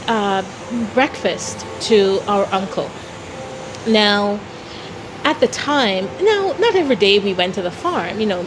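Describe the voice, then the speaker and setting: A woman from Jamaica telling personal stories as an interview guest, in a deliberate, expressive way. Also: mid-range voice, noisy background. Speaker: interview guest, founder of a philanthropy organization.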